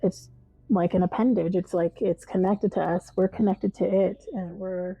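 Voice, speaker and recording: A woman in her forties from California speaks with heartfelt conviction, restarting phrases as she goes. Her voice is mellow, the recording slightly dull, with music faintly underneath. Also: fairly low pitch, fairly even intonation, normal speed, husky, no echo. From Palm Springs, California. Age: 40s. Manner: heartfelt, repeats words. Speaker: interviewee. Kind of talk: documentary interview.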